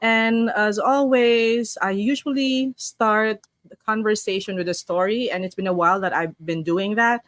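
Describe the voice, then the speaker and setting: A woman's voice: medium pitch, moderate pace, no echo, clean studio sound. A woman from the Philippines, hosting a casual online session and reading a story aloud with restarts. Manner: chatty, warm-up greetings, frequent restarts while reading. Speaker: host.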